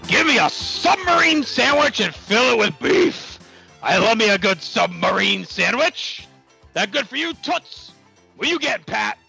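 A brash wrestling tycoon in a comedic audio play. The voice is very high and thick with gravelly creak, swinging wildly as he blusters and shouts. The recording is dry and a little dull. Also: very animated, faint music behind, full character voice. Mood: crude, needling. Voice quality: gravelly, strained growl. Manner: bombastic, abruptly shouting, drawn-out emphasis. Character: brash, boastful, manic wrestling tycoon boss.

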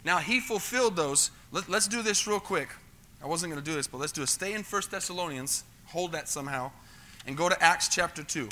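A middle-aged American pastor with a high, very bright and forceful voice. He preaches in a chatty teaching style, stumbling over repeated words and often asking the congregation whether they follow. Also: a little fast, a little hiss or hum. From United States. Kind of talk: sermon.

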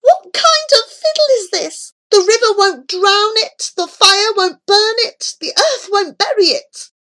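A woman's voice: very high voice, lively intonation, measured pace, pushed and bright, voice pushed up, exaggerated voice, poor audio. A woman voicing a desperate, remorseful boy in a storybook, crying out in anguished pleas. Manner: anguished, pleading cries. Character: desperate, remorseful boy cursed to fiddle and dance.

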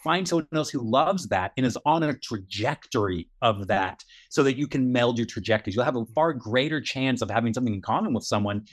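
A man in a middle pitch co-hosts a casual podcast chat. He talks earnestly and encouragingly, circling back and repeating his points for emphasis. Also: lively intonation, a little fast, few pauses. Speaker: podcast co-host.

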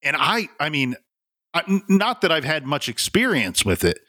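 A man's voice: fairly high pitch, very animated, moderate pace, sharp tone, dry recording, studio quality. A man co-hosting a casual podcast chat, talking earnestly and chattily with many fillers. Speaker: podcast co-host.

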